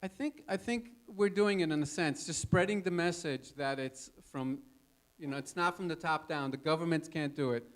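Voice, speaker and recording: A man's voice: a little high, moderate pace, hardly any pauses. A man in his fifties speaking informally as a guest in an audience question session at an expo, in a conversational way. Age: 50s. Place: expo stage before a live audience. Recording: live stage talk with audience noise.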